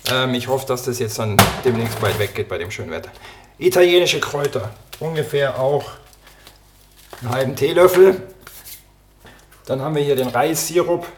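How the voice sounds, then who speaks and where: A man's voice: medium pitch, moderate pace, pauses often, background noise. Man with a cold, cooking in his kitchen. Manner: relaxed, talks while chopping and cooking. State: slightly hoarse from a cold. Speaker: podcast host cooking a recipe. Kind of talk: casual cooking podcast chat. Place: home kitchen. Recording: kitchen clatter and clicks behind. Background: dishes, pots and pans clattering.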